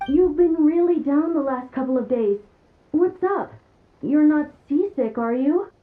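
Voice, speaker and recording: A woman's voice: high-pitched, expressive, measured pace, phone-quality sound, slight background noise. A woman voicing a caring, motherly shipmate in a game cutscene. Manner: concerned, motherly.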